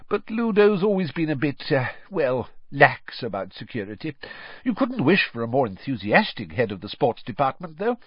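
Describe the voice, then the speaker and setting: A man's voice: mid-range voice, big pitch swings, moderate pace, slightly rough, no echo, pitched above the performer's own voice. A man voicing an eager, nervous middle-aged wizard father who stammers and fumbles. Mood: cheerful. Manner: flustered, hesitant, stammering. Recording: slightly dull lo-fi audio.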